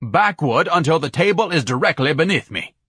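A man's voice: on the high side, expressive, a little fast, pushed and bright, husky, no echo, clean studio sound, voice pushed up, full character voice. A man voicing a large, pompous, paranoid man who declaims in ornate, grandiose phrases in an audiobook. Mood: anxious barking.

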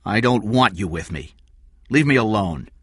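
A man's voice: fairly high pitch, huge pitch swings, moderate pace, pushed and bright, slightly rough, voice pushed up, full character voice. A man voicing a desperate small-time criminal who pleads and stammers defensively. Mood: agitated.

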